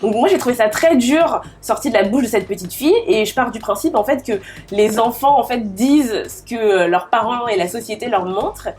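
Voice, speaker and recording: A woman's voice: on the high side, a little fast, darkish tone. A woman in her thirties from the Paris region, a guest in an interview who talks casually with frequent fillers. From Paris region, France. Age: 30s. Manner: chatty, with many fillers and restarts. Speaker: guest entrepreneur.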